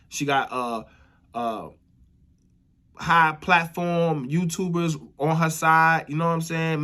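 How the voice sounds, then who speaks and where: American man, higher-pitched casual commentary. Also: a bit bright. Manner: repeats phrases for emphasis, frequent "you know what I'm saying" tags. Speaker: YouTube commentator.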